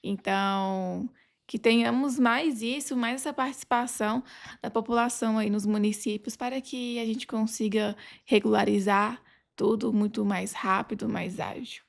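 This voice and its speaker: A Brazilian woman talks as a guest on a podcast. Her voice sits fairly high and carries heavy vocal fry. She explains her points earnestly and conversationally, often adding little check-in tags. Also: fairly even intonation, moderate pace. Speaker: podcast guest, legal advisor.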